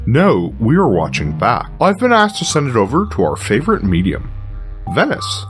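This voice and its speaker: Man playing a wildly animated conspiracy theorist. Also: mid-range voice, moderate pace, faint music behind. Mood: conspiratorial. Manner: dramatic, self-important. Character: intense, paranoid male conspiracy theorist co-host. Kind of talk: scripted comedy conspiracy segment.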